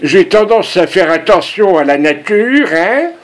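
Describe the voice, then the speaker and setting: A man's voice: sits high, animated, measured pace, slight background noise. A man voicing a pushy, rambling, naive old grandfather in a prank phone call. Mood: boastful. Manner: insistent, rambling, repeats himself.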